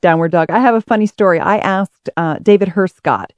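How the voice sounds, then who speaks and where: A woman's voice: on the low side, a little fast, darkish tone, no echo. A middle-aged woman hosting a casual interview, chatty and playful. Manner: chatty, playful, laughs easily. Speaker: interview host.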